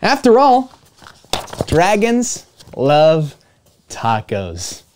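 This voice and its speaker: A man in his twenties reading a picture book aloud to children as a storytime host, playful, with dramatic emphasis and pauses. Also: a little high, huge pitch swings, a little mellow, a little hiss or hum. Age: 20s. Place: small indoor room with home studio gear.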